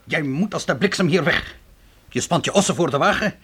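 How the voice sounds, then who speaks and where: An actor playing a gruff, commanding frontier trapper in a Dutch radio play, speaking brusquely and urgently. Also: male voice, a little high, lively intonation, a little fast, fairly bright tone, heavily acted. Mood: urgent, grave. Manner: brusque, urgent, giving orders.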